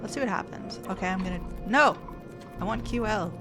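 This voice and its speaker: A woman's voice: mid-range voice, fairly quick, over music. Woman thinking aloud while gaming. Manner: thinks aloud, reads game text aloud. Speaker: streamer playing a game. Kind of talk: casual gameplay commentary.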